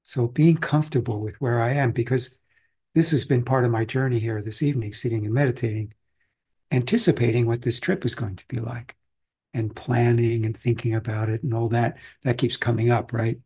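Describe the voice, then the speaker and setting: A man from Austria, a meditation teacher, giving a calm, reflective and conversational Zen dharma talk. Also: medium pitch, fairly quick, slightly rough.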